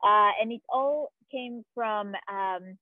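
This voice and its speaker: A woman's voice: mid-range voice, takes it slowly, dry recording. A woman in her thirties from Mexico with a Mexican Spanish accent, a ceramic artist answering reflectively, searching for words, recorded outdoors on an earbud mic. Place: outdoors by a concrete wall. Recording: dry, phone-like audio.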